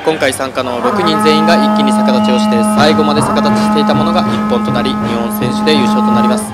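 Guy doing hype sports commentary, voice a bit high, big music bed behind. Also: lively intonation, fast talker. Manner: dramatic announcer delivery with shouted calls. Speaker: match narrator and commentator. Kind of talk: energetic sports-style commentary. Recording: voice-over under loud music.

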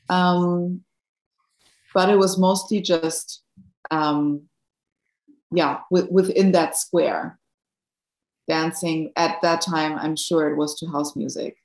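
Low-voiced German woman artist, reflective. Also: a little mellow, roomy sound, rough recording. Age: 30s. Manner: thoughtful, searching for words with many fillers and restarts. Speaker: guest artist lecturer. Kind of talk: Q&A after an art lecture.

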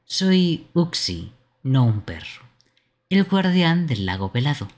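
Man voicing a slow, husky lake guardian creature. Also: fairly high pitch, very animated, exaggerated voice. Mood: solemn welcome. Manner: formal, measured, theatrical. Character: solemn mystical guardian creature of a lake, easily offended.

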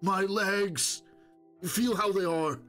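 Man voicing a desperate old wounded rogue, high-pitched. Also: slow, fairly bright tone, faint music behind. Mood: moaning, fearful. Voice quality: hoarse, strained. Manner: pleading, moaning, insistent. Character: old wounded stalker, wheedling, desperate, boastful.

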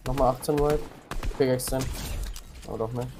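A man playing as a teammate in a shooter, giving quick, casual callouts in slangy speech. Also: mid-range voice, steady tone, noisy background. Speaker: teammate in a game. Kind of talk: team callouts while gaming.

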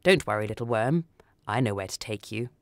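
A woman voicing a kindly old lady in a radio story, gentle and reassuring. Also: a bass voice, expressive, brisk, no room sound, studio quality. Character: kindly old woman with rheumy eyes, soothing.

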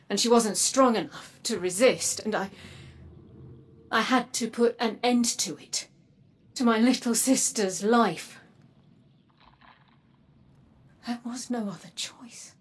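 An actress playing a grave, guarded woman warrior in a video game, measured and solemn, her breathy voice dropping toward a whisper. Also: mid-range voice. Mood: grieving, grave. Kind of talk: dramatic video game acting. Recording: game music underneath. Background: music.

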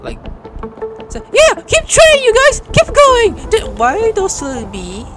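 Guy playing a game and reacting loud, voice jumps all over, music playing. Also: extremely high pitch, slow pace. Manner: excitable, swearing, animated. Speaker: gameplay commentator. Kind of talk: casual let's play commentary.

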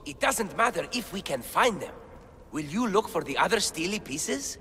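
An actor playing an eager, bookish, excitable scholar in a video game, speaking. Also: male voice, high voice, moderate pace, breathy edge, exaggerated voice. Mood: earnest. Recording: long cavernous reverb, faint ambient noise.

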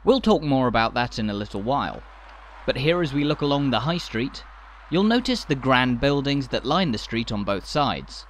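A man narrating a walking tour in a measured, enthusiastic guide's manner, from a scripted voice-over. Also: mid-range voice, big pitch swings, a little hiss or hum.

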